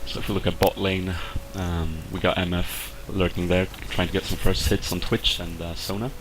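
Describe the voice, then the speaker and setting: Deep-voiced Swedish man, hesitant commentary. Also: flat delivery, normal speed. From Sweden. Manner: hesitant, lots of fillers and restarts. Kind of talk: casual video game commentary. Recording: clear background noise with clicks.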